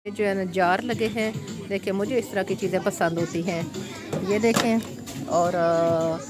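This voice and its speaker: A middle-aged woman vlogging on a phone camera, chatting as she walks through a shop and speaking softly and prayerfully at home. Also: medium pitch. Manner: chatty and lively walking around a shop, then soft and prayerful at home. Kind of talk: casual vlog then devotional closing. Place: street and supermarket, then indoors at home.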